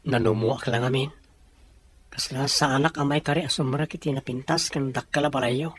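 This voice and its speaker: A man's voice: mid-range voice, fairly even intonation, moderate pace, a bit bright, husky. A man playing a humble, bashful young suitor from a poor family in an audio drama, earnest and respectful. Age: young adult. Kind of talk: scripted audio drama dialogue.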